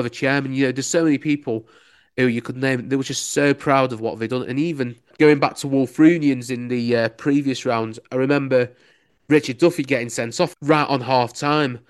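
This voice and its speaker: A man's voice: mid-range voice, clear, resonant tone. A young man with a Lancashire accent, a local radio sports reporter chatting enthusiastically in an interview, full of "you know"s. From Lancashire, England. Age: young adult. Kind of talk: casual interview answers.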